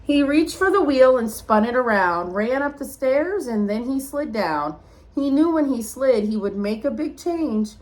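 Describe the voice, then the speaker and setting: A woman's voice: sits high, measured pace, poor audio. A woman reading a rhyming picture book aloud like a teacher, expressively, with small asides to young listeners. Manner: expressive storytelling, addressing children.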